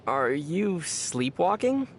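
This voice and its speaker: A man playing a young adventurer hero in a video game, with a youthful voice and a measured, thoughtful, gently wry manner. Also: fairly high pitch, very animated, slight background noise. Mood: wry, curious. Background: music.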